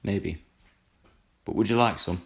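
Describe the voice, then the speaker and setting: Man acting as a slow, gravelly weary storyteller. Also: on the low side. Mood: dry, polite. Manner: slow, deliberate, dry. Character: retired male horror storyteller, weary, solitary and wry. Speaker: actor in an audio drama. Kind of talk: dramatic audio fiction and storytelling.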